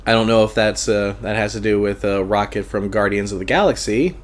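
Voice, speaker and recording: A man with a fairly low voice hosts a live book club stream and chats easygoingly with his viewers. He sounds a little congested and pads his sentences with plenty of ums and uhs. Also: brisk. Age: adult, long-time convention goer. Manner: hesitant, many fillers, relaxed and chatty. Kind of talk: casual live-stream chat reading viewer comments.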